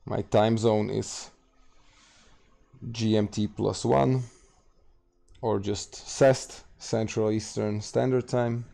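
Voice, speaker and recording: A man from Slovakia with a Slovak accent, hosting a late-night gaming livestream and answering chat questions in a halting, casual way full of fillers. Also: fairly low pitch, steady tone, vocal fry, warm tone. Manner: halting, many fillers and restarts, reads out chat. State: tired, streaming late at night.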